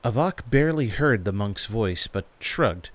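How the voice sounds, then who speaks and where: A man's voice: on the low side, animated, unhurried, dry recording. A man reading scripted story narration as the narrator of an audio drama. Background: music.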